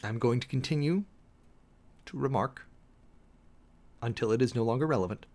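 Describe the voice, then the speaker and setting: A man giving a dramatic reading and close commentary in a formal, deliberate, sermon-like manner with emphatic pauses. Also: mid-range voice, a little mellow. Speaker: preacher and commentator hosting a show.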